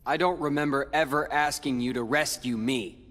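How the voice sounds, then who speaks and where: A man's voice: mid-range voice, a bit bright, lightly acted. A man playing a cold, brooding, taunting teenage boy rival in a video game cutscene. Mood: cold scorn. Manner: cool, taunting, deliberate.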